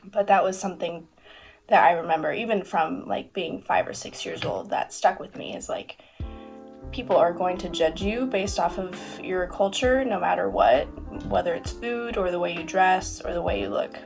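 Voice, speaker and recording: A woman's voice: lowish voice, moderate pace, gravelly, over music. A young adult woman in a casual chat, speaking reflectively with "like" fillers.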